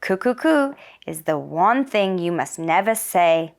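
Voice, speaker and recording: Woman voicing an earnest poor village man, unhurried. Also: on the low side, expressive, creaky. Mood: earnest request. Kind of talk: children's puppet-show storytelling.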